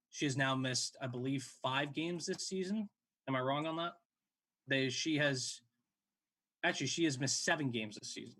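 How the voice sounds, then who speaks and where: A man's voice: mid-range voice, bright, forceful tone. A man in his late twenties hosting a sports show, giving casual game analysis in a conversational way.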